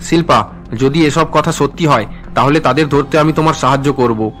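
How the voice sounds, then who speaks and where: A male actor plays a sincere young husband in a cartoon melodrama. His voice is on the high side, earnest and dramatic, with soft music behind it. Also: normal speed, lightly acted. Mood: earnest, hurt. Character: earnest, wounded young husband and loyal son.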